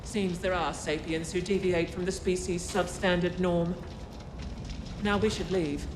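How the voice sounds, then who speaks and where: A woman's voice: on the low side, fairly even intonation, measured pace, noisy background, natural voice. A woman playing a cool, articulate futuristic operative in a video game, formal and dryly threatening. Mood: composed, dry. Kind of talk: video game character acting.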